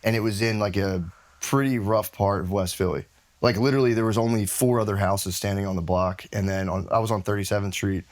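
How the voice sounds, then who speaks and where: A man's voice: on the low side, fairly even intonation, fairly quick. An American man chatting casually as a podcast guest, telling rambling, slangy anecdotes. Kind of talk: casual chat, anecdotal storytelling.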